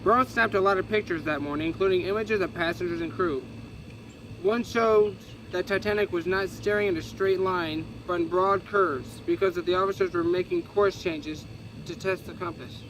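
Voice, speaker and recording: A man's voice: high voice, moderate pace, background noise. A man reading a history book aloud, stumbling and correcting himself casually as he goes. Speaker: reader.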